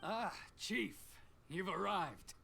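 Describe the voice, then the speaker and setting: A man's voice: sits high, expressive, slow pace, creaky, bright, forceful tone, slight background noise, full character voice. A man playing a greedy, officious government tax collector in a video game, haughty and easily flustered into stammering. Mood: self-important. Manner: haughty, stammers when cornered. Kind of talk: scripted video game acting.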